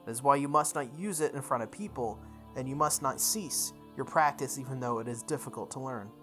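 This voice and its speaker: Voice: mid-range voice, brisk, lightly acted. A game player voicing a solemn, cautionary adult male magus mentor with weighty delivery. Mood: solemn, instructive. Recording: background music behind the voice.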